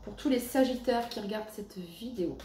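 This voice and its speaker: A woman giving a casual tarot reading at home, chatty and full of fillers, reading the card texts slowly and gently. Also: mid-range voice, reverberant. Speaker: tarot reader. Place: her home. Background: cleanup noise outside.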